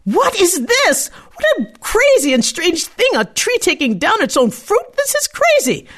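A woman voicing a rude, greedy girl in a told folktale, speaking loud and mocking. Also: very high-pitched, wildly swooping pitch, unhurried, voice pushed up, exaggerated voice. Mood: brash.

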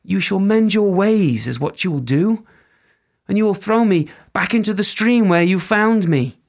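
A man's voice: high-pitched, animated, a little slow, gravelly, voice pushed up, exaggerated voice. A man voicing a wrathful fairy woman. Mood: stern, wrathful. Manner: stern, pronouncing curses.

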